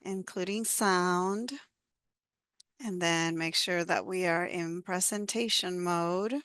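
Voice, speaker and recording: A woman's voice: lowish voice, fairly even intonation, slow, fairly bright tone, clear, resonant tone, dry recording, studio quality. A woman, a university professor, opens her presentation on a video call, checking that the audience can hear her. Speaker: university clinical professor, guest speaker.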